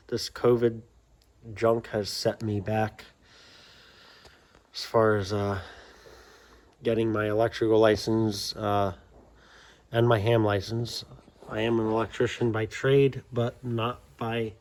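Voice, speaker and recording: An American man talks slowly through a casual show-and-tell, plainspoken and unscripted. He rambles and halts as he goes, with faint noise behind him on an amateur recording. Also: lowish voice, darkish tone. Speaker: hobbyist vlogger showing his CB radio setup. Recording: amateur video with background noise.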